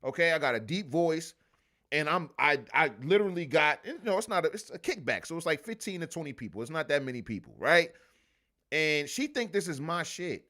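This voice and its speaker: A man's voice: a little high, a little fast. A man hosting a podcast, telling stories in casual, profane banter. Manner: animated, profane storytelling. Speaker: podcast host.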